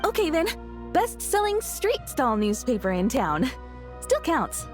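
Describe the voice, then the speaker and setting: A woman voicing a brash, cheeky tabloid street reporter in a video game, with an excitable, theatrical salesy delivery. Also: sits high, unhurried, music in the background. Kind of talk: video game character acting.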